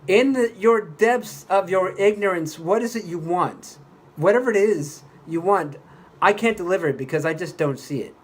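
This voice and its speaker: A man's voice: high-pitched, moderate pace, breathy edge. A man playing a pompous, exasperated commercial voice actor at a recording session, grandiose, indignant and condescending. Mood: exasperated, scornful. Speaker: voice-over actor. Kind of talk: re-enacted commercial recording outtake.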